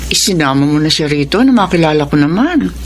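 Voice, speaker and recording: A woman's voice: sits low, lively intonation, fast, slight background noise. An actress playing a protective mother, newly home after illness, questioning her daughter in a classic radio drama. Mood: concerned. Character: worried, protective older mother recovering from illness.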